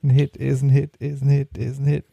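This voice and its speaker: A man's voice: mid-range voice, monotone, a little fast, gravelly, mellow, dry recording, muffled recording. A man hosting a podcast in casual chat, often repeating words and phrases as he gathers his thought. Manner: stammering repetition of words and phrases. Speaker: podcast host. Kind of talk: casual chat about music.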